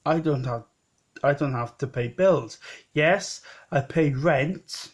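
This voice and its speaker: A man's voice: a little high, slow pace, breathy edge. A man in his late thirties talking in a rambling personal vlog, often repeating himself and restarting. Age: 30s. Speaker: vlogger.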